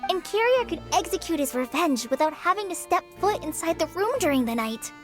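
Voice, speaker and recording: Tiny fairy sidekick, extremely high childlike voice, earnest, inquisitive, game acting, music behind. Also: female voice, very animated, brisk. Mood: earnest, thoughtful.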